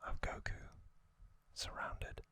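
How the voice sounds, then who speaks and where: Slow whispering man, ASMR host. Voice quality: soft breathy whisper. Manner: slow, gentle, reflective delivery with pauses.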